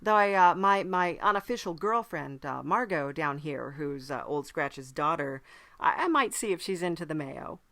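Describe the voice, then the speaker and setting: Comedy podcast host from Hell, female performer: slightly low, halting, wry deadpan storyteller, dry close sound. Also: dry recording. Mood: wry, rueful. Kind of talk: improvised in-character comedy storytelling.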